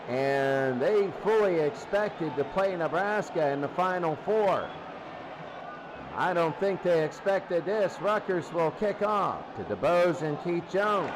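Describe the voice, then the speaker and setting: A high-voiced man does live football play-by-play as the game's commentator. The recording carries clear background noise behind his calls.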